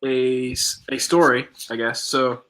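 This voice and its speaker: A young man co-hosting a podcast live stream, relaxed, telling a story with many fillers and pauses. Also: medium pitch, low-quality recording. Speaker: podcast co-host.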